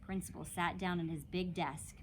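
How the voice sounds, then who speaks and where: A woman's voice: fairly low pitch, fairly even intonation, normal speed, slight background noise, rough recording. A woman narrating a children's storybook as a teacher would, expressively and dramatically, in a childlike first person. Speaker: teacher reading aloud to children.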